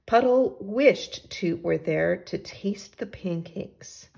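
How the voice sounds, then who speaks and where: Unhurried woman reading to young children. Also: lowish voice, vocal fry, dark tone, husky. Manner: explains pictures in simple asides for young children. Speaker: teacher reading a picture book aloud. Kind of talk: children's read-aloud with asides.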